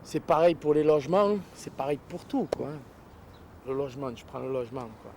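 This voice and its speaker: A man's voice: mid-range voice, lively intonation, normal speed, a little mellow. A man talking bluntly and casually in slang, repeating his phrases, in an outdoor interview. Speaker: street interviewee. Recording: noisy outdoor urban recording with traffic.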